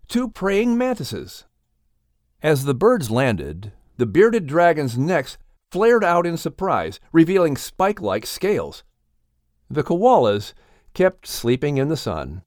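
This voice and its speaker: A man narrates a children's audiobook in a medium-pitched voice. His delivery is expressive and animated, in a dry, close recording. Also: dry recording.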